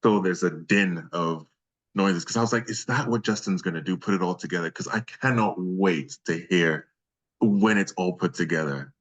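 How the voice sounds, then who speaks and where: Very low-voiced man chatting in an interview. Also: normal speed, husky, lo-fi sound. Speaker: freelance voice actor being interviewed. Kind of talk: casual interview chat.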